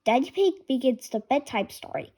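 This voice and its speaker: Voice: sits high, sing-song, normal speed, darkish tone. A person reading a children's storybook aloud, word by word. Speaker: reader of a children's picture book.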